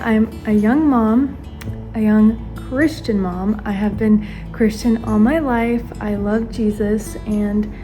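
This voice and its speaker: Chatty young woman with a mellow voice. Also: medium pitch. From born in Ukraine, raised in the US. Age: 20s. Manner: chatty, earnest, talks while doing her makeup. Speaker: vlogger, stay-at-home mom. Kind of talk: casual get-ready-with-me chat to camera. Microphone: camera facing her, she watches herself as a mirror.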